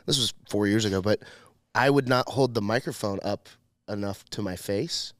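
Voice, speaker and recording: Big-voiced young comedian, emphatic. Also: male voice, on the low side, normal speed, no room sound, studio quality. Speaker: podcast host and comedian. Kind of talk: casual podcast chat.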